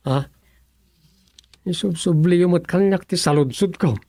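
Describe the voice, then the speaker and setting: A man's voice: high voice, measured pace, dark tone, no echo. An actor playing a puzzled younger countryman in a radio serial, with a Filipino accent, wondering aloud hesitantly. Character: puzzled younger countryman exploring a strange place.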